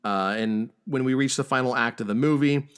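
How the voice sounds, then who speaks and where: A man hosting a podcast, talking casually through a film's plot with a halting, stammering delivery. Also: medium pitch, clean tone. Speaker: podcast host. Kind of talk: casual film discussion and plot recap.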